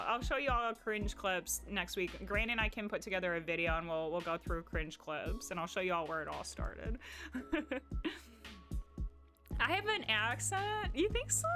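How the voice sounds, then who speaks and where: A woman's voice: a little high, sing-song, measured pace, bright, forceful tone, faint music behind. A young woman with a Midwestern accent talking casually to her stream chat in a rambling way, full of "like" and restarts. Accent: Midwestern American. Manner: chatty and rambling, heavy on "like" and restarts.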